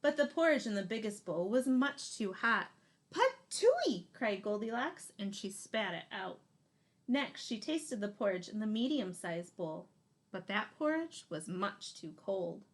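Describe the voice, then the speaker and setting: A woman in her thirties reads a children's picture book aloud expressively, leaning deliberately on key words. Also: medium pitch, expressive. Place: small room indoors.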